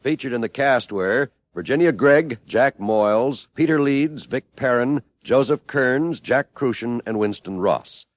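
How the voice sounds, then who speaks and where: A man's voice: mid-range voice, unhurried, hardly any pauses, no room sound. A man reading credits as a polished, formal announcer in mid-century radio style.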